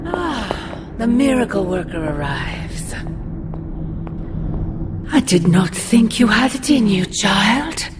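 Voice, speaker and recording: A woman's voice: mid-range voice, sing-song, slow, pauses often, music in the background. A woman playing a menacing, imperious ancient sorceress mother in a video game, with a sardonic, theatrical delivery. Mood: mocking. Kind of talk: dramatic video game acting.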